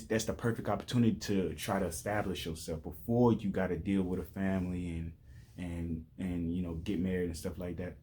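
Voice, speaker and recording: A man's voice: low voice, dark tone. A man in his late twenties giving life advice in a loose, rambling monologue, with many restarts and "you know what I'm saying". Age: 20s. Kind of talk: casual motivational monologue.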